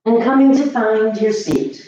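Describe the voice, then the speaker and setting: A woman leads a yoga class in a mellow, soft-edged voice. She speaks slowly, giving gentle, soothing cues and leaving long pauses between them. The sound is very echoey, as in a reverberant room. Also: a little high, lo-fi sound. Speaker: yoga teacher. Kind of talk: guided yoga and meditation instruction.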